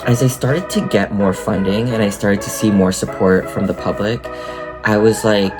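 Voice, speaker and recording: A man with a slightly low voice tells a story casually, as a vlogger would. Music plays clearly behind him as he talks. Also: fairly even intonation, moderate pace. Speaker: host, narrator.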